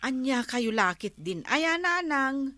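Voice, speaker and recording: A woman's voice: sits high, sing-song, slow, pushed and bright, no room sound, clean studio sound, full character voice. A woman with an Ilocano accent playing an exasperated schoolteacher daughter in a village comedy drama.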